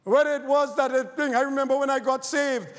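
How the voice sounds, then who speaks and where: A man from Barbados with a Barbadian accent, preaching a sermon, moving from quiet scripture reading to fervent exhortation. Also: very high-pitched, steady tone, brisk. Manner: reads scripture quietly, then rises to fervent shouted preaching. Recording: long hall reverb.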